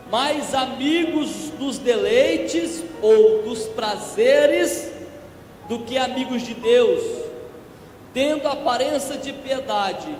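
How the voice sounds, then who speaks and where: Man, hoarse, evangelist preacher, very high pitch, slow delivery, echoey church. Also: a little mellow. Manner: slow, emphatic preaching that builds in force. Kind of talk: church sermon. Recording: echoey church with long reverb.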